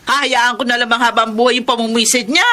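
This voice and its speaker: Casting: indignant, exasperated Filipino mother, high and forceful, quick to scold, for radio drama. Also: steady tone. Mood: exasperated, aggrieved. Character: feisty, aggrieved working mother, indignant and outspoken.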